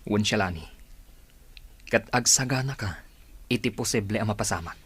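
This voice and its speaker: A very husky, breathy male voice from an actor plays a devoted outlaw in a radio drama. He is slightly low in pitch, earnest and deliberate, with Ilocano serial delivery. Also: fairly bright tone, no room sound. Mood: firm, urging.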